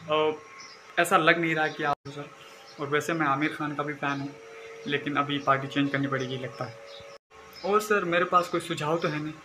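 A young man from Rajasthan, India, earnestly addressing a film star, saying sir constantly, on a phone video. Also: on the high side. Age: young adult. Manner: earnest, adds sir after most phrases. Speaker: fan sending a video message. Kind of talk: selfie video message. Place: indoor room. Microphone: phone camera at arm's length. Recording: phone video with background noise.

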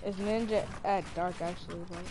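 A woman's voice: on the low side, fairly even intonation, brisk, background noise. A woman chatting casually while playing a video game, teasing and joking with friends over voice chat. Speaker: streamer.

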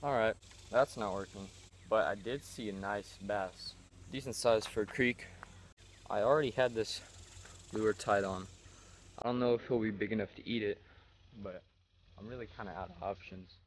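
A man's voice: lowish voice, moderate pace, pauses often, slight background noise, low-quality recording. A young man in his teens, recording himself on a phone by a small creek. He narrates a fishing trip in a rambling, easygoing way, often saying he isn't sure. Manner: rambling, laid-back, hedging with "I don't know". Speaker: fishing vlogger. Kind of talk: casual vlog commentary. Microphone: phone held at arm's length.

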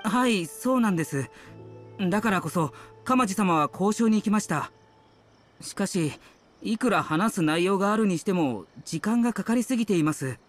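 A man's voice: sits high, expressive, moderate pace. A young male servant from a video game, humble and anxious. He speaks very politely and hesitantly, forever apologetic and loyal. Light music runs beneath the voice. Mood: worried. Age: young adult. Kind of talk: video game character acting.

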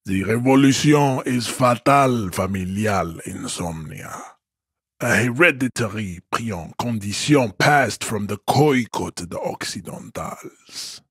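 A haughty, hulking supremacist from a video game. His voice is low and husky, recorded very dry. He declaims solemnly and pompously, as if above everyone. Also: lively intonation, takes it slowly, no room sound, heavily acted. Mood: cold, haughty. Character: haughty, hulking supremacist strongman, adult man. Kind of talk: scripted game character monologue.

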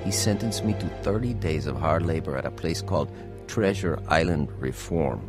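A man's voice: sits low, moderate pace, natural voice. An actor playing a rebellious, hot-tempered young sailor telling his life story in a radio drama. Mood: reflective narration. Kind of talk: dramatized radio testimony, narration and dialogue. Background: music.

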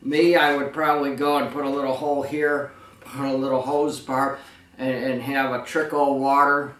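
A man's voice: medium pitch, flat delivery, normal speed, a bit bright. A middle-aged man giving an informal product demonstration in a rambling, folksy, plainspoken way, recorded in a living room from a camera a few metres away. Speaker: waterfall materials seller and presenter. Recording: roomy, echoey, distant camera microphone.

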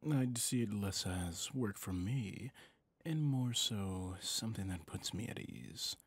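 Man acting slow, breathy, suave villain boss. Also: fairly low pitch, animated, no echo, full character voice. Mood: teasing. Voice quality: breathy, husky, often near a whisper. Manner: slow, courtly, seductive. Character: suave possessive villain boss wooing a favorite servant. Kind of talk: intimate ASMR roleplay.

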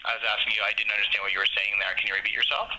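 A man's voice: lowish voice, little pitch movement, rapid-fire, background noise. A man working as a bank call agent, patient and polite, speaking over a telephone line. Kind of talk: customer service phone talk.

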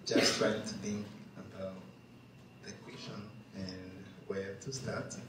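A man with a Kenyan accent speaking as a farmer on a discussion panel, slow and thoughtful, pausing to find his words, on a handheld mic in a large wooden barn hall. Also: deep voice, level tone, noisy background. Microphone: handheld mic, far camera. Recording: echoey barn from far off.

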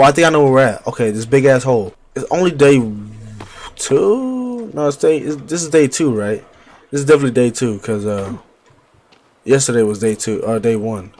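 A young man talking into a headset mic at his home gaming setup, rambling through a survival game in loose slang. He is getting over a cold, so his voice sits a little deeper and rougher than usual. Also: a little mellow. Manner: loose slang-heavy chatter, trash-talking enemies. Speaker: livestreamer. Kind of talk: casual gameplay commentary.

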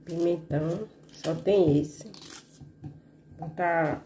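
A woman's voice: on the low side, very slow, slight background noise. A woman chatting casually to her viewers while she cooks in a small home kitchen, filmed on a phone. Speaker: vlogger cooking at home. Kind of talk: casual cooking vlog narration. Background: loud sizzling pan and kitchen clatter.

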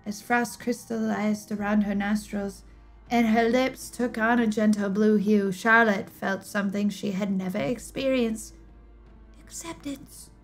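A young woman giving a dramatic scripted narration, theatrical, with drawn-out emphasis and pauses, close on a streaming mic. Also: medium pitch, fairly even intonation. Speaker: streamer reading game lore aloud. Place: small indoor room, livestream setup. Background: music.

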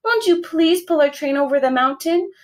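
A woman's voice: sits high, animated, normal speed, voice pushed up. A woman voicing a chorus of small dolls and toys who plead urgently in raised voices in a children's storybook.

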